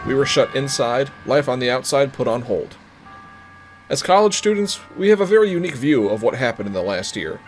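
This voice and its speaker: A twenty-two-year-old man reads narration in a measured, mid-pitched voice, then talks casually. Faint music sits underneath. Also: sing-song. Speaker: student narrator and interviewee. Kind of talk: scripted narration and casual answers.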